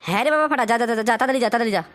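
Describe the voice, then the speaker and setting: Fussy cartoon dad played by a man, pitched-up voice, very fast, repetitive coaxing. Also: a bit bright, no echo. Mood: placating. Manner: repetitive nagging with questioning tag words. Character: fussy, coaxing father rousing his foul-mouthed son. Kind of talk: amateur comic cartoon dub.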